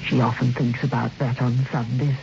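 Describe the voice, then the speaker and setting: A woman's voice: extremely low pitch, little pitch movement, normal speed, creaky, darkish tone, faint music behind. An actress playing a gossipy, plain-spoken, working-class woman in an old radio drama, hesitant and matter-of-fact. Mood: solemn. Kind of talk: old-time radio acting. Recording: dull, noisy old radio recording.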